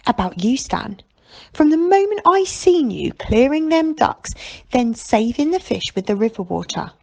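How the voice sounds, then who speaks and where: Storybook casting: wistful rough-edged fairground man played by a woman, folksy dialect, expressive pitch, some gravel. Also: unhurried, exaggerated voice. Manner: folksy dialect speech, marvelling.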